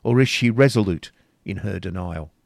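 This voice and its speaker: A scheming older knight, as a male reader voices him, loud and commanding with a gravelly edge. He coaxes and orders in the same breath. The storybook reading is close and dry. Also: sits low, normal speed, no echo.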